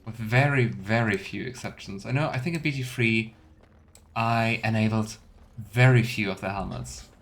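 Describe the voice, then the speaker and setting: A man's voice: fairly low pitch, normal speed, creaky. A man giving casual let's play commentary, thinking aloud in loose fragments. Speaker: game streamer. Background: faint game music.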